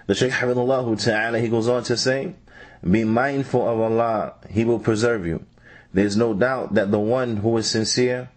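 A man reading out a translated religious lecture in a deliberate, phrase-by-phrase manner, restating points as he goes. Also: medium pitch, darkish tone. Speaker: translator reading a scholar's answers. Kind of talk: measured religious lecture translation, read aloud.

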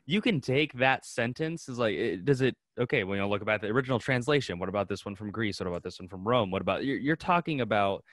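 A man's voice: on the low side, fast talker, few pauses, dry recording, clean studio sound. A man hosting a podcast, chatting casually and giving his opinions in an informal way. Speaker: podcast host. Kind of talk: casual chat.

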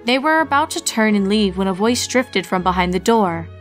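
A woman's voice: medium pitch, lively intonation, normal speed. A woman reading a scripted fan fiction story as its narrator. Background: background music.

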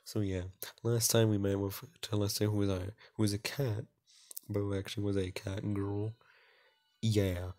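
A man's voice: lowish voice, little pitch movement, normal speed, a little mellow. Rambling man doing game commentary. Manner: rambling, stumbles over text while reading. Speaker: gaming video host. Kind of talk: casual playthrough commentary and read-aloud.

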